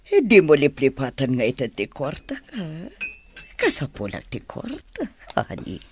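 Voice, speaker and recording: Money-hungry sweetheart: gushing, wheedling, northern Philippine accent, leisurely lilting radio drama delivery. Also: female voice, a bass voice, wildly swooping pitch, a little slow, breathy edge, full character voice. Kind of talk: Ilocano radio drama acting.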